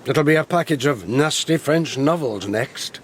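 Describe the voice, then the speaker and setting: Man acting a grumbling country parson, very lively pitch. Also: normal speed, a little hiss or hum, close to an everyday voice. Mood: disapproving. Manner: grumbling, wry, old-fashioned turns of phrase. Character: gruff, fussy older country parson, sceptical uncle. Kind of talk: period radio drama acting.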